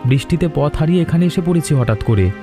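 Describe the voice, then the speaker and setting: A man's voice: fairly high pitch, animated, fast, music in the background, close to an everyday voice. A man playing a polite, grateful young male traveller from the city in a cartoon dub.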